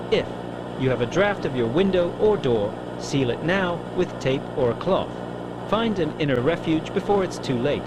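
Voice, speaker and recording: A man's voice: fairly high pitch, natural voice. A man playing a formal, grave television announcer reading scripted emergency bulletins. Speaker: broadcast announcer. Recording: very noisy, loud background ambience.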